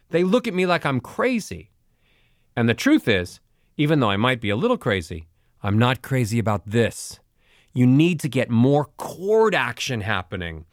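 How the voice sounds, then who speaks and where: A man teaching vocal exercises as a voice coach, speaking clearly and now and then demonstrating the sounds he means. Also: medium pitch, no echo, clean studio sound. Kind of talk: instructional audiobook narration.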